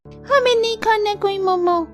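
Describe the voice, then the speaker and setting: A woman's voice: very high voice, a little slow. A woman voicing a proud, sulky little schoolboy from a poor family in a cartoon. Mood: sulky, hurt. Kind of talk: cartoon dialogue acting. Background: background music.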